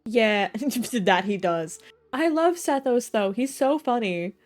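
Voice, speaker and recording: A woman's voice: on the high side, animated, takes it slowly, soft background music. Woman livestreamer, joking and slangy. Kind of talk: casual game playthrough commentary.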